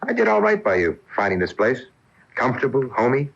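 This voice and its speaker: An actor playing a practical, affectionate, skeptical boyfriend in a radio mystery, casual and emphatic. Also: male voice, medium pitch, huge pitch swings, brisk, gravelly, slightly rough, exaggerated voice. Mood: breezy. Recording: dull off-air AM radio recording.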